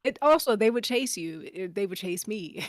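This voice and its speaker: A woman's voice: medium pitch, lively intonation, normal speed, no room sound. Woman guest thinking aloud, dry sound. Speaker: guest on an audio drama after-show. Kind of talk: casual chat.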